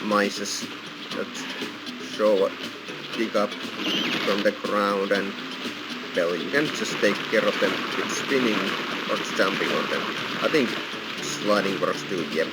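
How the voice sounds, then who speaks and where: A man's voice: on the low side, slow pace, pauses often, very noisy. A man giving casual walkthrough commentary as host of a gaming video. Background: loud game music.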